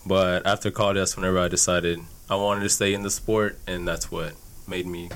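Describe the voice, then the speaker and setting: Young man, guest coach, clear smooth tone, flat intonation, informal conversational answers. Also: low voice, a little fast. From Houston, Texas. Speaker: youth soccer coach, podcast guest. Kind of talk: casual interview answers.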